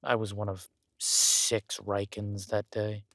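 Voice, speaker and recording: A man playing a regal, wry, menacing villain king in a roleplay audio drama, speaking in a measured, intimate, smugly theatrical way. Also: on the low side, monotone, fairly bright tone, no room sound.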